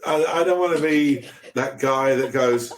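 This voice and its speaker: A man's voice: mid-range voice, unhurried, a little hiss or hum. A man guesting on a podcast over a video call, chatting casually in a rambling way with many restarts. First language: English. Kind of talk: casual chat. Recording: echoey room.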